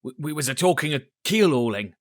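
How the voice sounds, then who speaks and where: A man's voice: fairly high pitch, expressive, brisk, no room sound, clean studio sound, pitched above the performer's own voice, natural voice. A man voicing a sheepish old grey-haired sailor who answers meekly and hesitantly.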